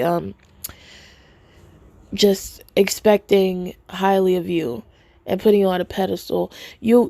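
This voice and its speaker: A woman hosting a podcast in casual commentary, hoarse from a cold and thinking aloud haltingly, close to a phone mic. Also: fairly low pitch, dark tone, dry recording. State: hoarse from a cold, voice nearly gone. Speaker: podcast host. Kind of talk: casual spoken commentary to listeners. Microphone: phone held close to mouth.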